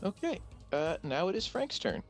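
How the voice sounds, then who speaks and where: A man's voice: high voice, very animated, moderate pace, faint music behind. A man running a tabletop roleplaying game as game master in a casual style.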